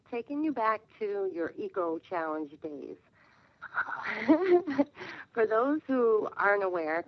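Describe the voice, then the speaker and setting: A female reporter speaks on a conference call in a friendly, articulate way. Her voice is slightly low, and the line is dull and lo-fi. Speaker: radio sports reporter. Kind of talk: asking a question on press call. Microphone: telephone.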